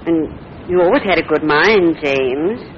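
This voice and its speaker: An American actress playing a sweet, scatterbrained older wife and mother, gentle and guileless, in 1940s radio drama. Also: sits low, lively intonation, a little slow. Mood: fondly guileless. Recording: muffled, noisy old radio recording.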